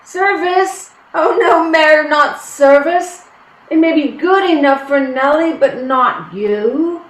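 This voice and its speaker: Woman acting an anxious, high-voiced Irish villager. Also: slow. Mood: disapproving, pleading. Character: anxious, protective Irish village woman pleading against leaving. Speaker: actor in a staged play reading. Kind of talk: dramatic stage play reading. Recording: roomy, echoing stage-reading sound.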